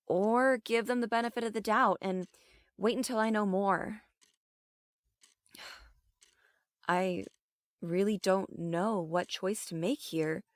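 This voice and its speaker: A woman's voice: mid-range voice, dry recording, studio quality, lightly acted. A woman playing a guarded, conflicted police captain, speaking informally and earnestly in an audio drama.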